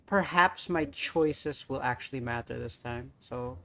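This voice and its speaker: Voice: mid-range voice, measured pace, vocal fry, sharp tone. A game streamer commentating casually with dry asides.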